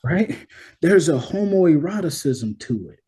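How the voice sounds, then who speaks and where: A man's voice: fairly high pitch, sing-song, unhurried, mellow, husky. A man talking through ideas as an interview guest in an emphatic, conversational way, often checking that the listener follows. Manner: emphatic, checks in with "right?" and "you know what I mean?". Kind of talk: conversational explanation of social theory.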